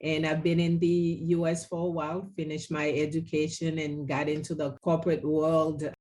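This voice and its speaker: A woman from Haiti, a guest author, answering interview questions politely and in a measured way. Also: deep voice, little pitch movement, warm tone, slight background noise. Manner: polite, measured, some fillers.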